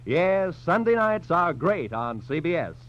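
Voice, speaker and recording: A man's voice: fairly high pitch, very animated, a little slow, a little hiss or hum. An American man announcing on 1940s radio, reading scripted credits and promotions in a polished, emphatic, formal style. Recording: dull 1940s radio recording.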